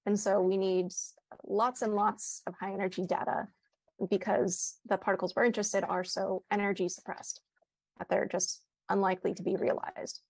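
A woman in her thirties giving a measured, precise academic explanation over a video call on an earphone mic. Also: medium pitch, little pitch movement, gravelly, darkish tone, no room sound, rough recording. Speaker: panelist, physicist. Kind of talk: explanatory academic panel answer. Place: indoor room, joining by video call. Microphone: earphone headset on video call.

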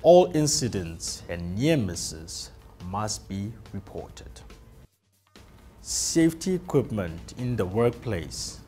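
Male presenter for a factory safety video: slow, deliberate delivery, lively pitch, lapel mic with soft music beneath. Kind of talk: scripted corporate safety narration. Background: soft background music.

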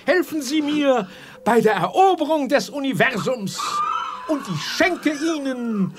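An actor playing a pompous, gloating megalomaniac scientist villain in a theatrical science-fiction radio play. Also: male voice, very high-pitched, sing-song, a little slow, noisy background, exaggerated voice. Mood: smug, commanding. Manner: pompous, theatrical, speaks of himself in third person.

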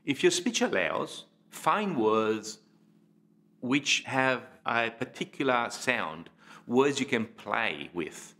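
A man in his fifties giving an instructional talk to camera as a speaking trainer, with deliberate, emphatic phrasing and pauses. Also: mid-range voice, sing-song, sharp tone. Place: indoor studio.

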